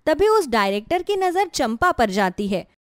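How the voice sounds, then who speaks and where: A woman's voice: high-pitched, very animated, moderate pace, dry recording, clean studio sound. A woman narrating a scripted moral story as a storyteller.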